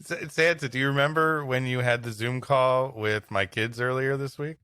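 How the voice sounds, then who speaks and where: A man's voice: mid-range voice, fairly even intonation, normal speed, bright, forceful tone, clean tone, dry recording, clean studio sound, soft background music. A man hosting a comedy podcast with casual, playful banter. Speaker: podcast host.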